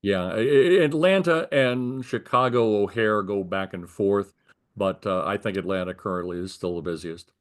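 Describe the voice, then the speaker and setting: An older man with an American accent speaks in a fairly low voice. He recounts things conversationally, as an interviewee would, and explains them in detail. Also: moderate pace. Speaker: retired air traffic controller being interviewed.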